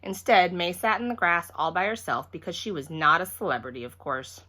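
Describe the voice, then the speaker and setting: Woman, bright forceful voice, expressive read-aloud of a children's chapter book, animated storyteller delivery. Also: lowish voice, brisk. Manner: animated, acts out each character.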